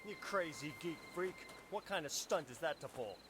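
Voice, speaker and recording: An actor playing a brash, scornful guard in a video game cutscene. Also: male voice, fairly high pitch, lively intonation, moderate pace, lightly acted. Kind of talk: acted game cutscene dialogue. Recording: quiet, distant voice in noisy night outdoors.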